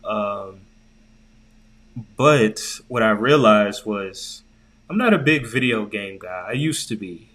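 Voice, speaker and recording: Guy cohosting a tech podcast, lowish voice, loose slangy casual talk. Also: expressive, slow, fairly bright tone. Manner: informal, slangy. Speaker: podcast co-host. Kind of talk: casual conversational tech chat.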